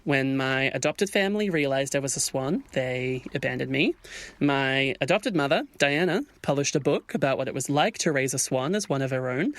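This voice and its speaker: Insecure male swan, played by a man: awkward, self-deprecating, slightly high voice, dry recording, audio fairytale. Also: no echo, lightly acted. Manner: hesitant, awkward. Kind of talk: audio drama dialogue.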